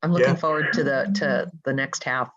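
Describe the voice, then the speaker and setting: A woman's voice: a bass voice, fairly even intonation, fairly quick, fairly bright tone, dull audio. A woman chatting casually among fellow play readers, playful and enthusiastic. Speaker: actor in a play reading. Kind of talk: casual chat.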